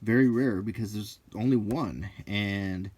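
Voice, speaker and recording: A middle-aged man rambling casually in a halting, unhurried way as he browses a book, with dry asides. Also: lowish voice, a little mellow. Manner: unhurried, halting, dryly joking. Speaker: hobbyist video presenter.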